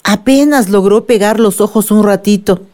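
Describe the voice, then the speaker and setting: A woman's voice: medium pitch, normal speed, warm tone, close to an everyday voice. A woman playing a caring, sorrowful village grandmother in a radio drama, consoling and tender.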